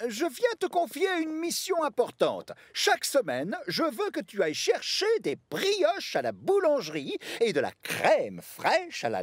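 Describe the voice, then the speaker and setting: A man's voice: very high voice, husky, no room sound, studio quality, heavily acted. A man voicing a firm, fatherly castle owner in a children's cartoon, authoritative and emphatic. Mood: businesslike. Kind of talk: French-dubbed children's cartoon acting.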